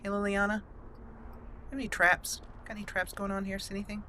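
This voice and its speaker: Chatty woman doing bright game commentary. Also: medium pitch, level tone, fairly quick, slight background noise. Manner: chatty, playful, laughs at her own jokes. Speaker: gaming channel host. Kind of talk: casual gameplay commentary. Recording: faint game music behind.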